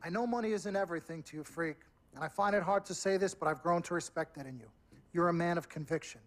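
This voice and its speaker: A man's voice: a little high, fast talker, lightly acted. A man playing a pushy, persuasive sports agent in a game cutscene, speaking in emphatic, speechifying style. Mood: earnest, persuasive. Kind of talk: scripted game cutscene acting.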